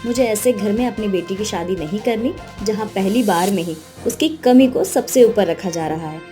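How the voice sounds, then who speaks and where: A woman's voice: mid-range voice, moderate pace, music in the background, natural voice. A woman voicing a protective, loving mother in a moral story, firm and emphatic in manner. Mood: indignant, firm. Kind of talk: animated moral-story dialogue.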